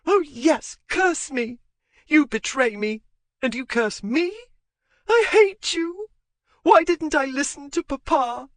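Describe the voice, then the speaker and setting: A reader voicing a jealous young wife in a loud marital quarrel, shrill and screaming, with a dry, close sound. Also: lively intonation, normal speed, few pauses, husky, no echo. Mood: shrieking.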